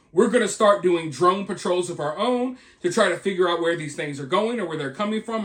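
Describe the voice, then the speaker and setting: A man's voice: high-pitched, fairly bright tone. A man hosting an opinionated news commentary, speaking emphatically with repeated points and rhetorical questions. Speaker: video host and commentator.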